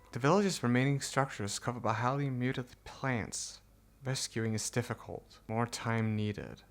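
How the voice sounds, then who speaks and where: Casual, playful gamer with medium pitch. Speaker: gaming video creator. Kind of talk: playthrough commentary, reading game text aloud.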